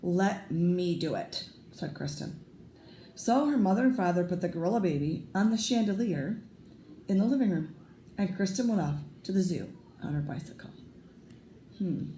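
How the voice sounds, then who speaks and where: A woman reading a children's picture book aloud as a storyteller, deliberate and expressive. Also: mid-range voice. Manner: deliberate, emphatic, with sound effects. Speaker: read-aloud teacher, storyteller. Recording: roomy echo, faint background noise.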